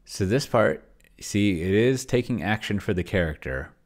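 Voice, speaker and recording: A man's voice: fairly low pitch, animated, moderate pace. A middle-aged man giving casual think-aloud commentary on a screen share, rambling and muttering to himself as he reads and types. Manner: rambling, muttering to himself while reading and typing. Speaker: host, solo roleplayer testing an AI dungeon master. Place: indoor home room with shelves.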